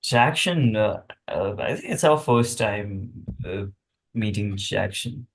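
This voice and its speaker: A man's voice: lowish voice, animated, slow pace, vocal fry. A young man from Nepal with a Nepali accent, chatting casually and often searching for words. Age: young adult. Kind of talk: casual chat.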